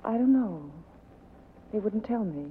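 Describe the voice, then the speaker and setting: An actress playing a seductive, evasive femme fatale with a coaxing, dramatic manner in a 1940s radio drama. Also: female voice, medium pitch, expressive, measured pace, slightly rough. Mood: coy, evasive. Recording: tinny vintage 1940s radio recording.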